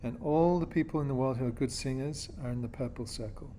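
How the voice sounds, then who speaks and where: A man's voice: mid-range voice, quick pace, warm tone, a little hiss or hum. Patient man explaining a lesson. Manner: patient, checks in with "okay?" and "yeah?". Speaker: teacher.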